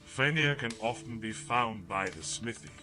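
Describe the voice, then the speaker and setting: An actor playing a businesslike guildmaster in a fantasy audio game, brisk and instructing. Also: male voice, mid-range voice, lively intonation, close to an everyday voice. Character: businesslike guildmaster handing out quests in a fantasy town. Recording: plucked guitar music behind the voice. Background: guitar music.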